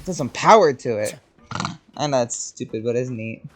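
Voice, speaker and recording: A man's voice: mid-range voice, lively intonation, moderate pace. A man giving casual gameplay commentary as a streamer, rambling and reactive, swearing casually. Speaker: game streamer. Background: game music.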